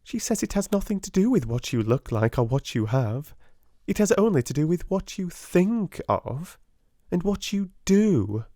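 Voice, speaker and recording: A man's voice: high-pitched, big pitch swings, a little slow, dark tone, no room sound, clean studio sound, pitched above the performer's own voice, heavily acted. A man voicing a giggly, gossipy, teasing schoolgirl in a storybook. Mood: eager gossip.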